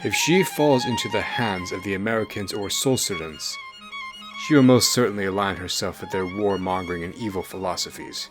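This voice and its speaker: A proud fantasy king, read by a man, speaks low and formally. He is pompous and menacing, a storybook tyrant issuing his word over a clear bed of music. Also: expressive, fairly quick, lightly acted. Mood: grave warning.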